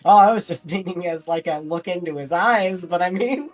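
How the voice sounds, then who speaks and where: A man's voice: high-pitched, normal speed. A man playing a tabletop game, chatting casually and joking, with music behind. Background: background music.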